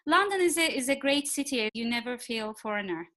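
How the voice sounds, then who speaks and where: Woman, middle-aged, slightly high pitch, thoughtful, pausing often. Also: lively intonation, a bit bright. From Turkey. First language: Turkish. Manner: thoughtful, frequent filler pauses. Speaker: guest, executive coach. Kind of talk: podcast interview.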